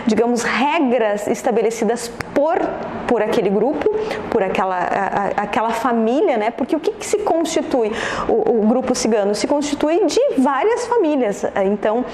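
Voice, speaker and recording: Female historian interviewee, southern Brazilian accent, fairly high voice, reflective and explanatory, echoey room with slight noise. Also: lively intonation, moderate pace, a little mellow. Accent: southern Brazilian, Rio Grande do Sul. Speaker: historian and author being interviewed.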